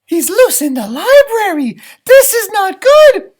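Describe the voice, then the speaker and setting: Excitable gingerbread hero for a children's storybook, male performer: high, emphatic, wildly animated, plucky. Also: measured pace, heavily acted. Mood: alarmed, determined. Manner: emphatic, exclaiming, dramatic declarations. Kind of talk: storybook read-aloud for children.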